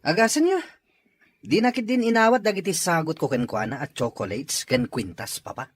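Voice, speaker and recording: A man's voice: on the high side, animated, moderate pace, slight background noise, natural voice. A man acting an eager young suitor and dutiful son in an audio drama.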